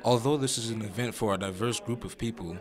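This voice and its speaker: A young man reporting a student news story in a measured voice-over. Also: fairly low pitch, over music.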